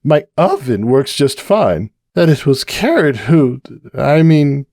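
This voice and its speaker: A man voicing a tipsy, anxious young mare pony, high and wavering, on a dry close recording. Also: sing-song, takes it slowly, warm tone, no room sound. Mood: drunk, anxious.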